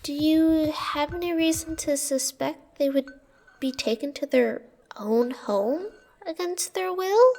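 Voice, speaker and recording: A very high-voiced young royal servant girl, played by a woman in a fantasy audio drama, speaking slowly and carefully. She is loyal and earnest, deferential and a little hesitant. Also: a little mellow, a little hiss or hum. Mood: puzzled, alert. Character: young, loyal, cautious girl serving the queen. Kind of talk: fantasy audio roleplay acting.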